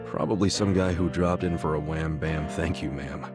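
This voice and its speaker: An actor voicing a brash, earnest young yakuza underling with rough, slangy speech in an English-dubbed game. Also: male voice, very deep voice, steady tone, moderate pace, over music. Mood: reflective. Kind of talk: English-dubbed game cutscene dialogue and narration.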